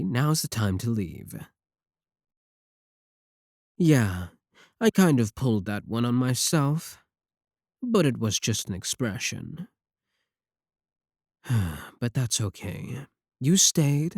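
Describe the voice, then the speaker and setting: Man performing a possessive male yandere streamer, slow delivery, animated pitch swings, needy and defensive, intimate ASMR roleplay. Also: no room sound, clean studio sound, full character voice. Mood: hurt, clingy. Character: clingy, possessive yandere male VTuber pleading with a viewer.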